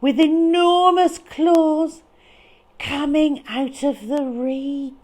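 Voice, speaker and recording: A woman reading a picture book to young children in a dramatic, expressive way with deliberate phrasing. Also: high voice. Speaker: children's storyteller.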